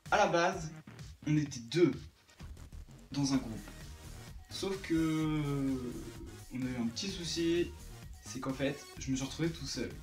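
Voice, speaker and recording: A high school student in his late teens who interviews classmates and narrates his own film in a relaxed, wry way. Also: a little slow, long pauses. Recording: echoey, distant, faint music behind.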